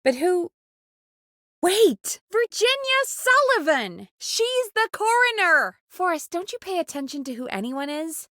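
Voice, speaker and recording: Expressive, high-voiced radio producer. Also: female voice, measured pace, dry recording, studio quality, heavily acted. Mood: focused, urgent. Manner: earnest, expressive, exasperated at times. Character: dedicated, easily exasperated woman producer at a radio station. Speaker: voice actor as radio show producer. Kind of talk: video game voice acting, natural dialogue.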